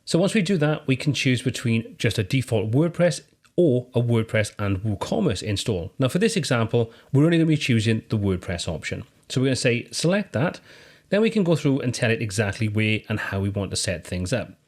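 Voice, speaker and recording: Lively British man explaining software steps. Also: mid-range voice, a little fast. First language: English. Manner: friendly step-by-step explaining, lively intonation. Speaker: tutorial host. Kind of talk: screen-recorded software tutorial.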